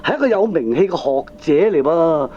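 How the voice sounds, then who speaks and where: A man playing an excitable, talkative middle-aged father in a Hong Kong Cantonese comic radio drama, earnest and emphatic. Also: on the high side, moderate pace. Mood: excited, insistent. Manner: emphatic, chatty, exaggerated delivery. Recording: dull lo-fi cassette recording.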